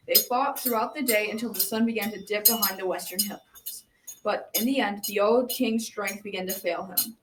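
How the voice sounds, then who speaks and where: A folktale storyteller voiced by a teenage girl reading scripted narration for a school radio drama. Her mid-pitched voice sits away from the mic in a very echoey room with faint noise. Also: recorded from a distance, close to an everyday voice. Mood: dramatic telling.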